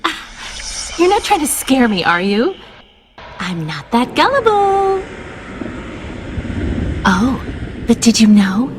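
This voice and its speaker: A woman's voice: on the high side, big pitch swings, slow, pauses often, background noise. A woman playing a teasing, chatty teenage schoolgirl in a video game.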